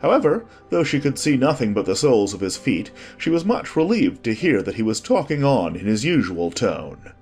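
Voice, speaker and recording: A man's voice: mid-range voice, very animated, normal speed, few pauses, darkish tone, husky, soft background music. A man narrating a storybook as a scripted audiobook.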